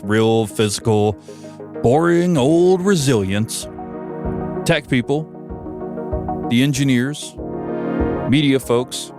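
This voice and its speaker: An American man hosting a podcast, delivering a conversational opinion monologue in a wry, emphatic way. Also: mid-range voice. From United States. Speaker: podcast host, broadcast engineer.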